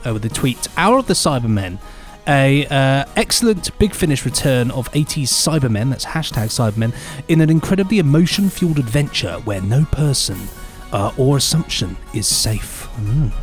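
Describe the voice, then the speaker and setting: A man's voice: medium pitch, moderate pace, few pauses, soft background music. A man co-hosting an audio drama podcast in casual, jokey chat. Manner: jokey, lively, trailing off into mumbles. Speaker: podcast co-host.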